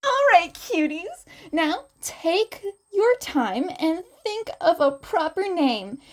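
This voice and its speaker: Woman putting on a playful adult woman teacher, high voice, very animated, coaxing, flirty, storybook anime character. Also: heavily acted. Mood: flirty, cheerful. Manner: teasing, coaxing, drawn-out words.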